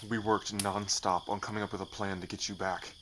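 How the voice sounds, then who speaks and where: A man's voice: lowish voice, flat delivery, a little fast, noisy background, lightly acted. A man playing a loyal, hot-headed rebel in an ASMR roleplay, protective, vengeful, earnest and emphatic in delivery. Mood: relieved, earnest.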